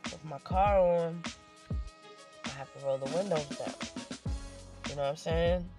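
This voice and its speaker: Podcast host, stuffy nose, rambling, playful, swearing, solo monologue, inside a parked car, mid pitch, clear music behind. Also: a little slow.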